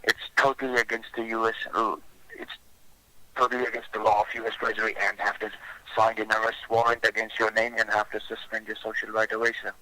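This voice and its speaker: Male phone scammer, bright forceful tone, flat intonation, formal and deferential. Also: lowish voice, quick pace, poor audio. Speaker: phone scammer posing as a government officer. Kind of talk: scripted phone pitch with improvised replies.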